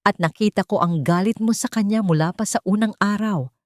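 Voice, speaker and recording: A woman's voice: medium pitch, fairly quick, a little mellow, dry recording, close to an everyday voice. An angry, wounded grown son in a radio drama, acted out by a woman. The delivery is emphatic and accusing, pleading for the truth. The sound is close and dry. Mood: shouting, anguished. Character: angry anguished grown son confronting his mother. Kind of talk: Tagalog radio drama acting.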